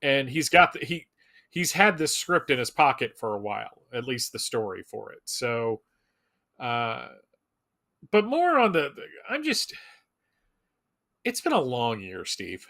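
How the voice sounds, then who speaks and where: A man's voice: mid-range voice, sing-song, a little slow, creaky, long pauses, pushed and bright. A man chatting casually as a fan on a live show, informal and emphatic, rambling a little as he goes.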